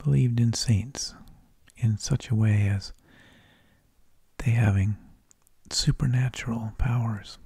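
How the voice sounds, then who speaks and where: Slow, breathy whispering man, ASMR. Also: mid-range voice, dark tone, dry recording. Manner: slow, deliberate phrasing with pauses. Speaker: ASMR host. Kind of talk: whispered ASMR narration of history. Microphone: close microphone.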